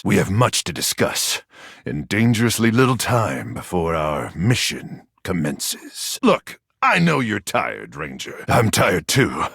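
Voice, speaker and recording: A dramatic war-weary sci-fi soldier, wildly swooping delivery. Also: male voice, on the low side, creaky, husky, no room sound, studio quality, full character voice. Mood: weary, grave. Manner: melodramatic, deadpan absurd asides, sudden outbursts. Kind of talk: video game character acting.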